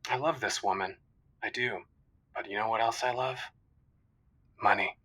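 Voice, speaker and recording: A man plays a smug venture capitalist with a heart, in a very bright, forceful voice. He rhapsodizes with great self-importance, like a radio comedy caller. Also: on the low side, normal speed, husky. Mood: rapturous, smug. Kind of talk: comedic call-in radio drama.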